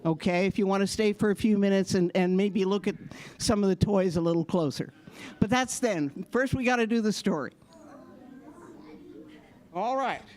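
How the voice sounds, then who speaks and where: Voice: medium pitch, normal speed, pauses often, darkish tone. A presenter giving a casual spoken introduction to an audience at a children's show.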